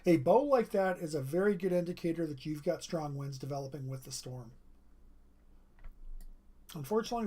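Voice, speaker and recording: A weather livestreamer talks steadily through live radar maps. The voice is strained and keeps breaking off to clear the throat. It sits at an ordinary middle pitch. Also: warm tone.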